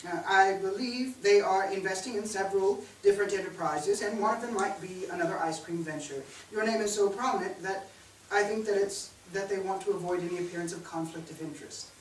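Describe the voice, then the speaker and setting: A man's voice: sits high, brisk, natural voice. A man playing a formal, cautious, experienced banker in a staged play reading, with a hesitant manner. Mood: businesslike. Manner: formal, hesitant with many uhs. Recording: echoey stage, distant mic, background hiss.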